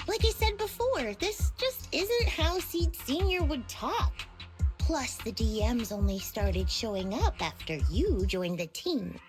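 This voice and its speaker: A woman voicing an earnest, emotional young girl pilot in a video game, with a girlish voice and drawn-out, emotive delivery. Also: big pitch swings, over music. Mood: determined. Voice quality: high, girlish. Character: cheerful, emotional young girl pilot missing her senior.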